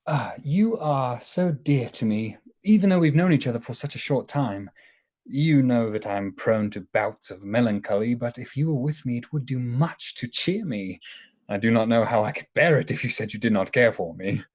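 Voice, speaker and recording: An actor playing a nervous, earnest, lovesick Victorian doctor in a radio play, halting and formal. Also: male voice, medium pitch, close to an everyday voice. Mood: nervous, pleading. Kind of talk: radio drama acting.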